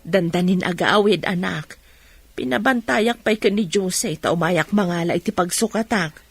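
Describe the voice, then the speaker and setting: Woman playing a husky-voiced Filipino village mother. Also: on the low side, moderate pace, no echo. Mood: reassuring. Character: caring middle-aged village mother, sympathetic and practical. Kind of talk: Ilocano radio drama acting.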